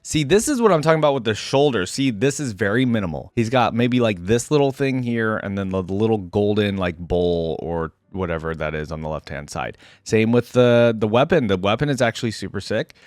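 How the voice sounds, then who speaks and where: A man with a lively, swinging delivery talks through his reactions in a casual video-creator style. He thinks aloud informally and nitpicks as he goes. The sound is close and dry, with very little room in it. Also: on the low side, brisk, few pauses, dry recording.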